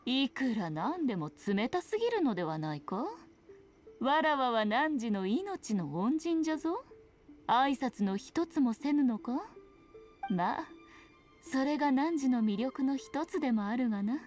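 A woman's voice: high voice, big pitch swings, measured pace, darkish tone, faint music behind. A woman playing a sly, teasing, ancient fox-spirit shrine maiden in a video game, speaking in an archaic, haughty manner with playful airs. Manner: archaic haughty Japanese speech, playful mock sympathy. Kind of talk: scripted video game dialogue.

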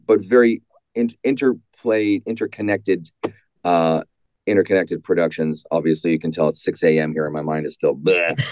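A man answering as an author in an interview, conversational and halting, repeating words as he goes. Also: deep voice, darkish tone, dry recording.

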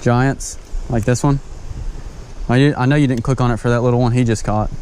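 A man filming his own fishing trip on a riverbank, talking casually to viewers and calling out excitedly when fish bite. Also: medium pitch, moderate pace. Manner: excitable, exclaims loudly at big catches. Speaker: fishing vlogger. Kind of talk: casual outdoor vlog. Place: outdoors on a riverbank. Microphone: chest-mounted action camera. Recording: river noise behind.

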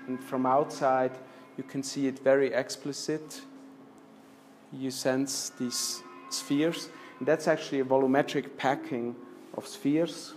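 A man in his fifties presenting slides in a lecture room, speaking with many restarts and fillers, trailing off softly at the ends of phrases. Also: medium pitch. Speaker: architecture lecturer. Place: lecture room with projector screen.

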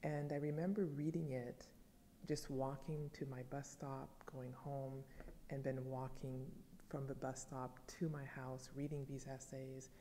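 A woman's voice: rumbling low, flat delivery, dark tone, big-room sound, a little hiss or hum. A woman in her forties, born in Haiti with French as her first language, speaking as a professor and recalling her youth thoughtfully in an interview. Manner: thoughtful, measured storytelling. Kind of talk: reflective interview answer to camera. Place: dim wood-paneled library. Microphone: fixed camera, seated at medium range.

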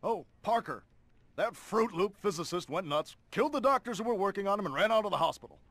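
A blustering newspaper boss for a game cutscene, pitched high and swooping wildly. He is irascible and bombastic, barking in a raspy voice, recorded very dry. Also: lively intonation, fast, fairly bright tone, no room sound, full character voice. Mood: irritated, dismissive. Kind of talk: video game cutscene acting.

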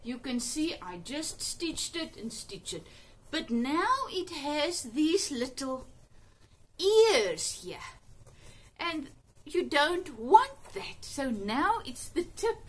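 A chatty woman in her fifties with an Afrikaans accent talks through a sewing demo in a home workroom. Her voice sits high and swoops a lot, and she takes her time. She is picked up by a camera a few feet away. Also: big pitch swings, slow pace. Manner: chatty and upbeat, with little exclamations. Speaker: sewing channel host. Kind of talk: casual sewing tutorial. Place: cluttered home sewing room, indoors. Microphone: camera on a table, a few feet off.

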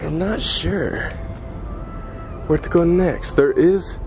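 A man in his twenties chatting casually and excitably as he vlogs an exploration outdoors, often joking. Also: a little high, slow pace. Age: 20s. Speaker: vlogger. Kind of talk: casual exploring vlog chat. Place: outdoors in an industrial yard, moving. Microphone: handheld camera at close range. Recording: music over outdoor handheld audio. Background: background music.

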